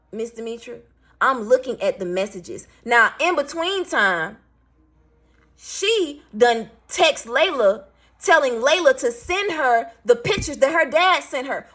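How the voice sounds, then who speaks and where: A raspy-voiced woman in her thirties, a single parent venting bluntly and with swearing as she tells a story to her viewers. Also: high voice, bright, forceful tone. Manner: blunt, profane, emphatic.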